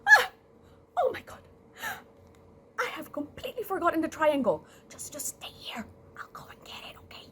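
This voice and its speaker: A woman's voice: medium pitch, big pitch swings, normal speed, a little mellow, a little hiss or hum, close to an everyday voice. A woman voicing a greedy, two-faced male rat in a story, gushing, sly and theatrical. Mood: panicked apology. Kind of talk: live oral storytelling.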